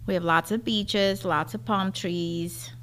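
A middle-aged woman with a Taiwanese accent, telling personal stories in simple, short, clearly phrased sentences. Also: mid-range voice, steady tone, takes it slowly, fairly bright tone, a little hiss or hum, rough recording. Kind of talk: personal storytelling.

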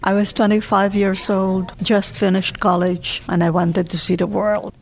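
A woman in her seventies from the Philippines, with a Filipino accent, telling her life story in a measured, reflective way. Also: medium pitch, flat delivery. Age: 70s. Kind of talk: oral history personal narration.

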